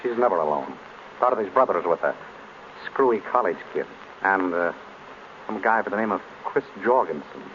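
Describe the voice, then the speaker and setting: A sharp dry-witted detective in an old radio show, brisk questions, muffled hissy sound. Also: male voice, sits low, lively intonation. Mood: casual, dry. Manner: brisk, probing, wry. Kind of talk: 1930s radio acting. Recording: dull, noisy old radio recording.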